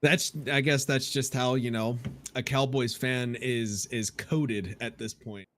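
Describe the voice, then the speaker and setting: Man with mid-pitched voice, casual sports chat. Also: steady tone, a little slow, a bit bright. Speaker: co-host of a football show.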